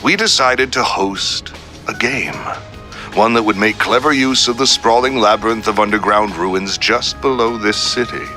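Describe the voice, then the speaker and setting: A man's voice: fairly low pitch, moderate pace, music in the background, lightly acted. A man voicing a suave, coldly polite crime boss delivering a formal, grandiose villain speech in a video game. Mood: grand, sinister. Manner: formal, grandiose, calmly threatening.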